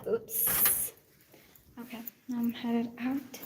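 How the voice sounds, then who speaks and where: A woman's voice: on the high side, little pitch movement, slow pace, background noise. A young woman, a native Urdu speaker, chatting casually and laughing at herself on a live stream from her phone while she walks outside at night. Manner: chatty, laughing, self-teasing, switches into Hindi and Punjabi. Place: outdoors at night, walking around her building.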